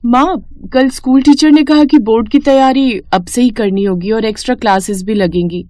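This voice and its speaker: A woman voicing a brave, dutiful fifteen-year-old village girl with a soft, polite, earnest manner in a cartoon story. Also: fairly high pitch, normal speed, no echo, lightly acted. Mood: earnest, concerned.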